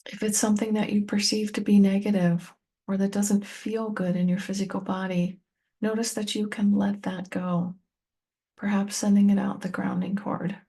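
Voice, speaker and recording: A woman leading a guided meditation, speaking gently and unhurriedly to the listener in short, spaced-out phrases. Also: medium pitch, level tone, normal speed, gravelly, husky. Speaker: meditation teacher and tapping coach.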